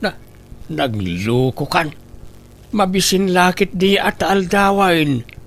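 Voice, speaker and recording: A man with a fairly high voice and an Ilocano accent, scolding and reproachful. He delivers lines of an audio comedy drama in plain, firm tones. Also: expressive, slow pace. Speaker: drama voice actor, husband. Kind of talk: Ilocano radio-style comedy drama acting.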